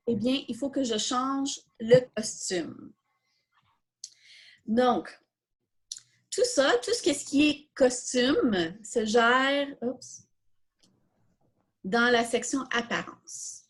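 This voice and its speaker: A woman's voice: high-pitched, expressive, extremely slow, pauses often, slightly rough, low-quality recording. A woman with a Quebec French accent leading an informal step-by-step coding tutorial, thinking aloud and posing questions that she then answers herself. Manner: self-questioning, explanatory. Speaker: coding workshop instructor.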